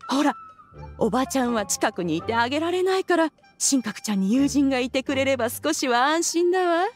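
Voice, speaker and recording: A woman's voice: high voice, lively intonation, a little fast, soft background music. An actress playing a dignified female immortal who puts on doting auntie manners in a Japanese video game. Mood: sweetly doting. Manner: switches between archaic stately speech and sweet chatty auntie talk.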